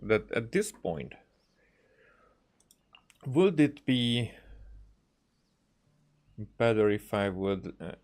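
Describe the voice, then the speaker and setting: A man's voice: fairly low pitch, darkish tone. A man doing casual live game commentary, hesitant and thinking aloud as he goes. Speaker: video game streamer.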